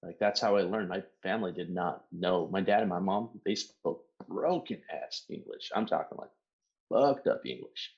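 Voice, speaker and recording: A middle-aged man from Southern California, Spanish his first language, talks in a low register through a dull, lo-fi recording. He tells stories loosely, acting out what others said and swearing freely in a casual podcast chat. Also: moderate pace, gravelly, a little mellow. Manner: swears freely, storytelling with mock quotes. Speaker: podcast guest.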